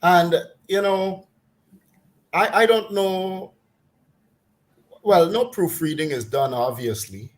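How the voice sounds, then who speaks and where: Guyanese guy venting, fairly high voice, scoffing and laughing as he goes. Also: slow pace, pauses often. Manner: exasperated, mocking, laughs while ranting. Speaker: commentator on a talk program. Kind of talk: casual opinionated chat.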